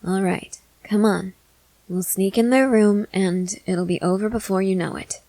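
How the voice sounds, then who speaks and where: A woman's voice: mid-range voice, fairly even intonation, unhurried, warm tone, dry recording, lightly acted. A woman playing a confident, experienced vampire who coaxes and reassures in a matter-of-fact way, in an ASMR roleplay. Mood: reassuring. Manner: matter-of-fact, coaxing, assured.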